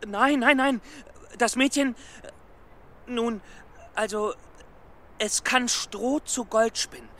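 An actor playing an excitable, stammering storyteller in a children's radio play, flustered and coaxing. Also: male voice, very high voice, slow pace, long pauses, exaggerated voice. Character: excitable, stammering male storyteller and fairy-tale collector. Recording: music under the voice.

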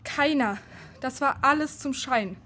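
High-pitched, earnest young-sounding heroine. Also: female voice, unhurried, pushed and bright, a little hiss or hum. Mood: urgent, explaining. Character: earnest, urgent female friend bringing secret news. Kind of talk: children's radio play acting.

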